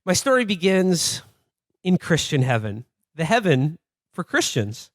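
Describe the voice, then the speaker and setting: A man hosting a podcast, delivering scripted casual banter in a dry, measured manner. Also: fairly high pitch, very animated, very noisy. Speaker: podcast host.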